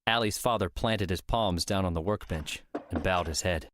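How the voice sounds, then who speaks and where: Deep-voiced male audiobook narrator. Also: moderate pace, background noise. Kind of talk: scripted story narration. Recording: music under the voice.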